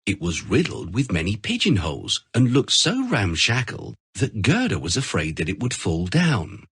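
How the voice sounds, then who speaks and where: A man narrating a scripted children's story as an expressive storyteller. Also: lowish voice, normal speed, husky, dry recording, studio quality.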